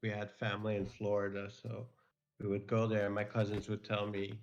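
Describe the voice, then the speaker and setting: A man's voice: lowish voice, monotone, normal speed, highs cut off, a little hiss or hum. A man talking casually and hesitantly, with frequent ums and uhs. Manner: hesitant, with many fillers. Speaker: guest. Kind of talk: casual chat.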